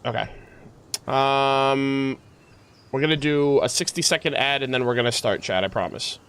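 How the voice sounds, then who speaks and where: A man livestreaming, chatting casually with his viewers in a halting, thinking-aloud way. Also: medium pitch, fairly bright tone, clear, resonant tone. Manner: casual swearing. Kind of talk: casual chat with stream viewers. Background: music.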